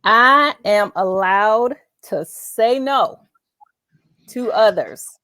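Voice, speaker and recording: A woman's voice: a little high, lively intonation, drawn-out words, bright, forceful tone, no room sound. Emphatic woman hosting a heartfelt talk show. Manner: emphatic, affirming, repeats phrases for emphasis. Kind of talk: faith-based conversational interview.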